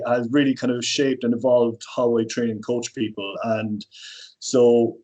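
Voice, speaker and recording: A man's voice: mid-range voice, a little mellow, dull audio. An Irish man in his thirties, a personal trainer, talking casually as a podcast guest with lots of fillers and restarts. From Ireland. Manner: rambling, many fillers and restarts.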